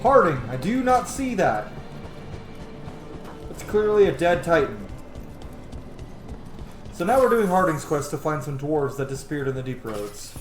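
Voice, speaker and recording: A man's voice: a little high, sing-song, measured pace, long pauses. A man hosting a gaming channel, giving informal, slangy gameplay commentary and thinking aloud. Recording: roomy sound with game music behind. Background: video game music.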